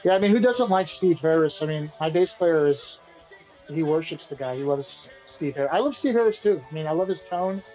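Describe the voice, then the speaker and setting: A man, the band's singer and guitarist, answering interview questions in a relaxed, rambling way and often repeating himself. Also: fairly high pitch, brisk, lo-fi sound, faint music behind. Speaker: band vocalist and guitarist being interviewed.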